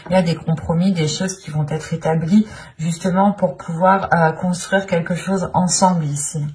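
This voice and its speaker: A woman's voice: on the low side, steady tone, normal speed, darkish tone. A woman giving an improvised tarot reading as a channel host, speaking in a searching way and often repeating her phrases.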